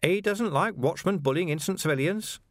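Chirpy, high-voiced taunting criminal for an audiobook; male reader doing a sly, mocking crook, dry studio sound. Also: a little fast, no room sound, close to an everyday voice. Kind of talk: audiobook character voice.

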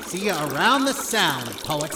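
Noir audio drama: male actor as bossy, exasperated gangster big brother, very animated, distant in a roomy space. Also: a little high, measured pace. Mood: menacing, mocking. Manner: bossy, sneering, easily exasperated. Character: older brother, bossy knife-carrying 1930s gangster thug. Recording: roomy, distant, slightly noisy.

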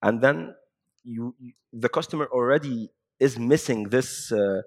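A man from Egypt speaking conversationally as a panelist, halting and repeating phrases as he makes his points. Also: medium pitch. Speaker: panelist, app company representative.

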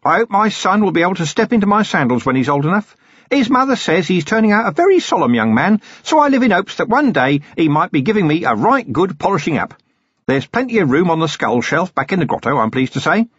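A man's voice: high voice, sing-song, fast, a bit bright, slightly rough, dry recording, pitched above the performer's own voice, exaggerated voice. A man voicing a cheerful, talkative old male hermit who rambles eagerly and deferentially. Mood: eager chatter. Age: older. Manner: eager, rambling, deferential, chuckling at his own jokes. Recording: dull, dry, lo-fi recording.

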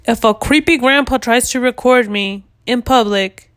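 A woman in her twenties from the United States, hosting a podcast in loud, blunt casual chat and swearing freely. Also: on the high side, normal speed. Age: 20s. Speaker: podcast host.

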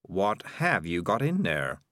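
A man's voice: on the low side, wildly swooping pitch, measured pace, a bit bright, no room sound, heavily acted. A man voicing a sly, worldly male talking cat, drawling with dry skepticism in a storybook reading. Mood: wry.

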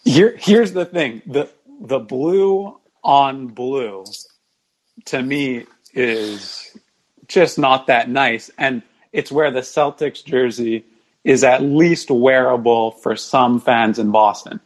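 A man's voice: mid-range voice, slow pace, poor audio. A man as a podcast guest, mildly explaining his opinions in casual sports discussion.

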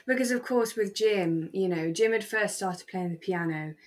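A British woman co-hosting a relaxed fan podcast, chatting in an effusive, sentimental way. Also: medium pitch, normal speed. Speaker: podcast co-host. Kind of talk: relaxed fan chat about a radio drama.

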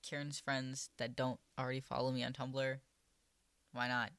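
A man's voice: mid-range voice, little pitch movement, moderate pace, fairly bright tone, clear, resonant tone. A man from Minnesota with a Minnesotan accent, a podcast guest chatting casually in a wry, rambling way and swearing freely.

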